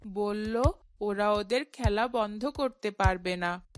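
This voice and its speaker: A woman's voice: medium pitch, takes it slowly, faint music behind, close to an everyday voice. A woman voicing a polite, earnest young boy in a cartoon. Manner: earnest, respectful.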